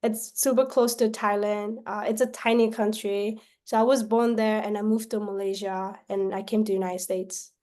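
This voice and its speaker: A woman from Myanmar, a salesperson pitching her service on a call, often tagging sentences with questions and restarting them. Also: little pitch movement, normal speed, hardly any pauses, darkish tone. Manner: pitch broken up by frequent tag questions and restarts.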